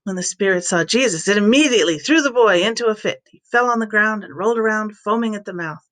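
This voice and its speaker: A woman reading scripture aloud as scripted narration, with expressive storytelling delivery. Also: mid-range voice, fast talker. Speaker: scripture reader.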